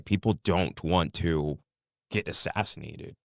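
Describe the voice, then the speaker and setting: Low-voiced male guest with short, blunt interjections, recorded dry. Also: measured pace, vocal fry, no room sound. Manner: terse, chiming in. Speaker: podcast guest. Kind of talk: casual political discussion.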